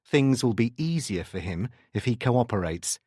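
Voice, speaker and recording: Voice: mid-range voice, lively intonation, a little mellow, breathy edge, dry recording. Casting: male detective sergeant for audiobook crime fiction, firm, authoritative, dry close sound read by a narrator. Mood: measured. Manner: brisk, authoritative, commanding.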